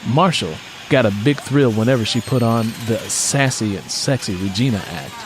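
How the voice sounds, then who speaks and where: A man's voice: mid-range voice, normal speed, noisy background. A man reading scripted fiction as an audiobook narrator.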